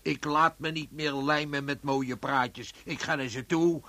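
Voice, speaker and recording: Bitter, forceful corpse carrier. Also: male voice, fairly high pitch, fairly even intonation, a little slow, dry recording. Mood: fed up, angry. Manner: grumbling, sarcastic, emphatic. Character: bitter, fed-up working man, a corpse carrier. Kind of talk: Dutch radio play acting.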